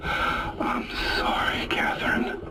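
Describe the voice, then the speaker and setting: A man's voice: slow pace, whispered, natural voice, rough recording. An actor playing a guilt-ridden, despairing male survivor in a dramatic video game. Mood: broken, sorry.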